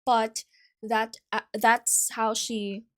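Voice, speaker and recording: A woman's voice: fairly high pitch, little pitch movement, extremely slow. A young woman from the Philippines with a Filipino accent, hosting a casual solo podcast in a chatty way, often correcting herself and adding asides. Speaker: podcast host.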